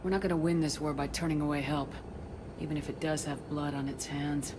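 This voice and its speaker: A woman's voice: low-pitched, fairly even intonation, a little fast, natural voice. A woman playing a resolute, authoritative military commander in a dramatic sci-fi video game. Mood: steady, determined. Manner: firm, authoritative, determined. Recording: reverberant space with loud ambient noise.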